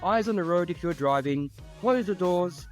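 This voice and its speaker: A man's voice: high voice, lively intonation, moderate pace, faint music behind. A man hosting a podcast, interviewing casually with an informal, teasing way of talking. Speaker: podcast host.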